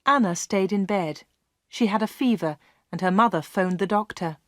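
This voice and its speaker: A woman reading a story aloud for English learners, in a clear, measured way. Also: medium pitch, no echo, clean studio sound. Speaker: audiobook narrator.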